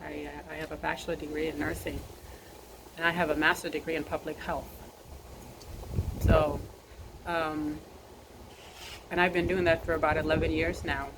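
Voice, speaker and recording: Low-voiced earnest woman, windy noisy outdoors. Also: little pitch movement. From South Sudan. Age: 30s. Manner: earnest, measured, repeats phrases for emphasis. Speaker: nurse and army veteran sharing her story. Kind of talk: personal address to camera. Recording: noisy outdoor recording with wind.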